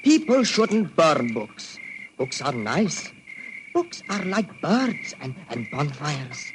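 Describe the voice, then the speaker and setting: Childlike, high-voiced simpleton. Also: male voice, very animated, slow pace. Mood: dreamy, innocent. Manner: childish, wandering, repetitive talk. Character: simple-minded, childlike man who loves birds and bonfires. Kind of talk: old-time radio drama acting. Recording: noisy vintage radio recording.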